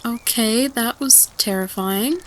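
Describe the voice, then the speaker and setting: A woman's voice: fairly high pitch, slow pace. A woman playing a nervous student who rambles through spooky folklore in a hesitant, casual way, repeating herself, in an audio roleplay. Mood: nervous excitement. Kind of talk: casual campfire storytelling roleplay.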